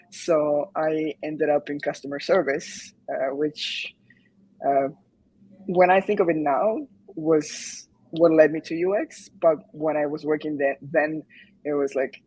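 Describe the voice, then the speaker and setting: A woman's voice: deep voice, steady tone, measured pace, a little mellow, slightly rough, poor audio. A woman in her thirties from Croatia, chatting casually as an interview guest, hesitant with many fillers and restarts. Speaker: guest, UX design graduate.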